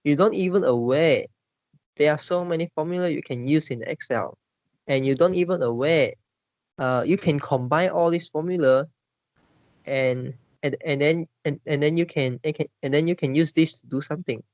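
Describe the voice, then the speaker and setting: A man's voice: fairly high pitch, normal speed, no echo, lo-fi sound. A man with a Malaysian English accent, leading a casual discussion and stumbling through many restarts and fillers. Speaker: discussion leader.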